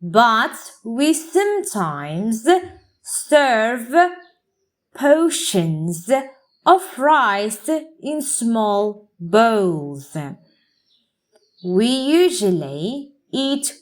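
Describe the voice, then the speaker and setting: Slow, high-voiced woman teacher reading aloud. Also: big pitch swings. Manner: deliberate word-by-word enunciation. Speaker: English pronunciation teacher. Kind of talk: reading practice passage read aloud for learners.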